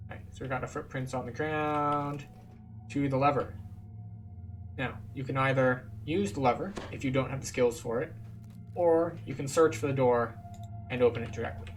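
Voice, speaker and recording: A man's voice: medium pitch, steady tone, moderate pace, a little hiss or hum. Man casually guiding a game walkthrough. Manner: explanatory. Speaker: gamer, tour guide. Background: music.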